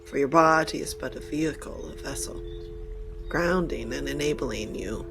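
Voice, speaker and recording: A woman leading a channeled guided meditation in deliberate, halting short phrases with formal wording. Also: low voice, vocal fry, music in the background.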